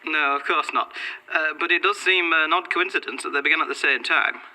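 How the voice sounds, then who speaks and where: An actor playing an awkward but persistent local reporter, hesitant and apologetic in an audio drama. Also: male voice, fairly high pitch, brisk, sharp tone, a little hiss or hum, rough recording. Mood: awkward, probing. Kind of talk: audio drama press question.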